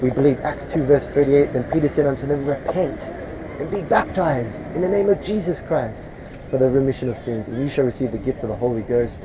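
A man preaching scripture outdoors to a passer-by, earnest and quick to pray in tongues, recorded on a chest-worn camera. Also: mid-range voice, expressive, background noise. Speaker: street preacher. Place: outdoors on a street beside a shopping centre car park. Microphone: chest-worn action camera.

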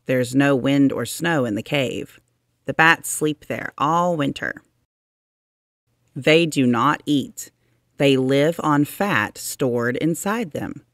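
Slow, deliberate children's book narrator. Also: medium pitch, no echo, studio quality. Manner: deliberate, expressive, with drawn-out emphasis. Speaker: narrator reading a children's science book aloud. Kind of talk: read-aloud storybook narration for children.

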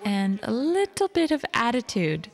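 A woman narrating a documentary in a scripted, steady way. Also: on the high side, unhurried.

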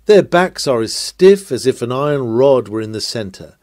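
A man reading an old-fashioned sermon aloud in an emphatic, declamatory manner with deliberate phrasing. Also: mid-range voice, lively intonation, a little mellow, no echo, studio quality. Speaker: sermon reader. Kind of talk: dramatic read-aloud of a 19th-century sermon.

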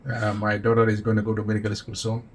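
A man in his forties from Bangladesh, a doctor, answering interview questions plainly and calmly in an office, with slightly English. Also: on the low side. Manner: plain, measured, slightly non-native English. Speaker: physician, interview guest.

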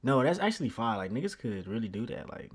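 Fast-talking man reacting in slang. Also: medium pitch, big pitch swings, husky. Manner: laid-back slang, laughs often. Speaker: streamer watching a clip. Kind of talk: casual reaction commentary.

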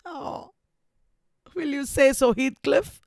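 A woman's voice: high voice, slow, vocal fry, darkish tone, no echo, clean studio sound, voice pushed up, full character voice. A woman reading the part of a proud, bitter, dying young woman reproaching her lover in a classic novel. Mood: bitter, reproachful.

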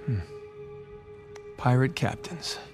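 A man's voice: mid-range voice, expressive, slow, music in the background. An actor playing a wry, easygoing treasure hunter in his thirties, speaking casually with an American accent. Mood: curious, wry. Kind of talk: naturalistic video game acting.

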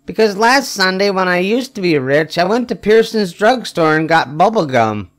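An older man reads a children's story aloud in a slightly high-pitched voice. His delivery is expressive and emphatic. He lets the short punchlines stretch out with wry weight. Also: moderate pace. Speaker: storybook reader. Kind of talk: expressive read-aloud of a children's picture book.

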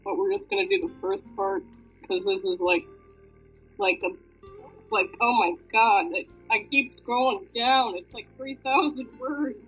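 A woman's voice: medium pitch, unhurried, slightly rough, faint music behind. A woman reading fan fiction aloud casually, with informal, sweary joking asides. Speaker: fan fiction reader and commentator. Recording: thin, phone-like lo-fi audio.

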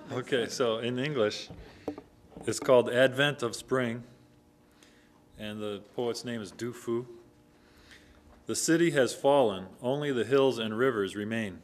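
A younger man reading an English poem translation aloud in a measured, plain way, standing a little back from the mic. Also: mid-range voice, slight background noise. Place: small community room with an audience. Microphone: stand microphone, a step away.

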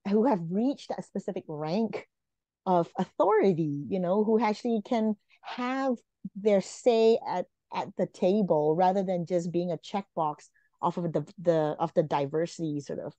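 A woman's voice: lowish voice, measured pace, dark tone, no echo. A woman in her forties from Malaysia, a guest talking earnestly on a video call with many fillers and restarts. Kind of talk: casual interview talk. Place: home room, video call. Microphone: video call webcam. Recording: dull lo-fi video call audio.

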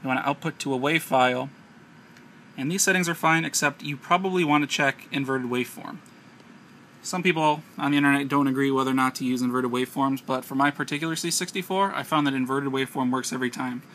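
A man's voice: mid-range voice, quick pace, pushed and bright. An American man narrating an unscripted hands-on tech tutorial step by step, recorded on a handheld camera. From United States. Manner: explanatory, step-by-step instruction. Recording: noisy amateur handheld camera audio.

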